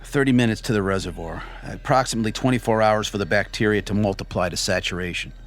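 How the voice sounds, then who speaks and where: A man's voice: lowish voice, quick pace, lightly acted. An actor playing a ruthless male alien invasion soldier in a radio drama, stern, clipped and commanding. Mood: coldly dutiful.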